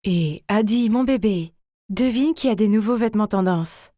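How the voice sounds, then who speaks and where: A fussy, bossy woman of the family voiced by an actress speaks in a cartoon story. Her pitch is average, her delivery emphatic, and the sound is dry and close. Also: moderate pace, warm tone, dry recording, lightly acted. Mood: coaxing. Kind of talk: acted story dialogue.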